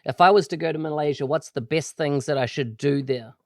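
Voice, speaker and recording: A man from New Zealand hosting a podcast, asking friendly, quick questions and chuckling now and then. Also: a little high, gravelly, a little mellow, dry recording. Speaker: podcast host. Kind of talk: conversational podcast interview.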